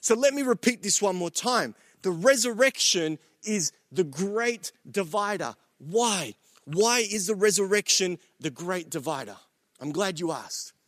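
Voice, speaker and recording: A man's voice: sits high, lively intonation, measured pace, fairly bright tone, dry recording, clean studio sound. A man born in El Salvador preaching a sermon to his church and home viewers, earnest and repetitive, with many fillers and restarts. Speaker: pastor.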